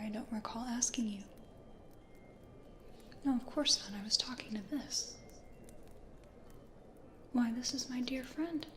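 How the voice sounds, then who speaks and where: A woman acts as a shy, gentle American lady ghost from the nineteenth century who adores her plants. She murmurs very slowly and hesitantly in a fairly high, breathy ASMR whisper. The room echoes and there is clear background noise. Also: lightly acted. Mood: nervous, apologetic. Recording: roomy echo, audible background noise.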